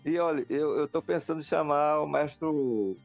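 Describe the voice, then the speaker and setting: A man's voice: a little high, normal speed, creaky, faint music behind. An older man from Recife, Brazil, a singer-songwriter guest speaking reservedly in an interview. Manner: reserved, warm laughter. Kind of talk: casual interview chat. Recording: dull lo-fi sound.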